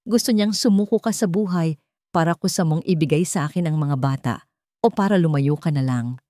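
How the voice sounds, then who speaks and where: A woman's voice: deep voice, brisk, dark tone, no room sound, clean studio sound, lightly acted. A woman narrator voicing a serious, firm, protective man with a commanding manner in an audio love drama. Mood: grim. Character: grave, protective wealthy man, firm and threatening.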